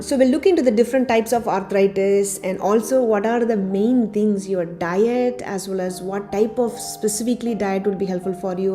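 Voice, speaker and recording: A woman doctor giving a health talk to her channel's viewers, her voice a little raspy after a cold, steadily listing points one by one. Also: mid-range voice. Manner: steady explanatory delivery, lists items one by one. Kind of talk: informal health talk to camera. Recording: faint background music.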